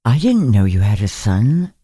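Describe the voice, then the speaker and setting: A man's voice: lowish voice, sing-song, a little slow, mellow, dry recording, studio quality. A man voicing a young woman carer in a literary story, hesitant, awkward and kindly. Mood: awkward, apologetic. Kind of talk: literary story character reading.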